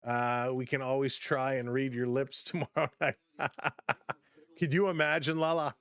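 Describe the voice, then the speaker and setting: A man hosting a casual live-stream baseball play-by-play, chatty and upbeat as he greets viewers, his voice slightly hoarse from overuse. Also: mid-range voice, level tone, normal speed, a bit bright, no echo. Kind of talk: casual play-by-play with chat reactions.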